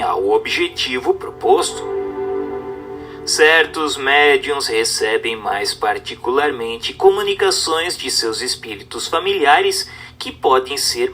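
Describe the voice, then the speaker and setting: A man's voice: mid-range voice, bright, forceful tone. A man with a Brazilian accent reading a book aloud as scripted narration, in a formal, didactic manner. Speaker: audiobook narrator.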